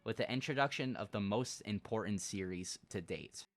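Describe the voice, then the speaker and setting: A man reading scripted video essay narration in an explanatory, measured way. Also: fairly low pitch. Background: background music.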